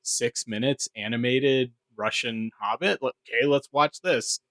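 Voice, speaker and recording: Low-pitched man chatting casually. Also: unhurried, fairly bright tone, no echo. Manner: informal, sweary. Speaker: podcast co-host. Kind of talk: casual panel chat.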